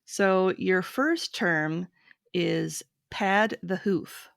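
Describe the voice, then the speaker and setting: A woman host runs a friendly quiz in a slow, unhurried rhythm. Her voice is low and gravelly, with some vocal fry. The sound is dry and close, with no room around it. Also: a bit bright, dry recording. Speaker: podcast host. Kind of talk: casual quiz chat.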